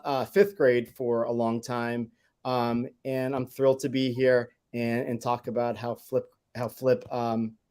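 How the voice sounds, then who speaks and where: A middle-aged man, a teacher, chatting in a friendly way on a video call, halting with fillers. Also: mid-range voice, warm tone. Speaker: technology teacher, guest. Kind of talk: casual video-call chat. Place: room with bookshelves.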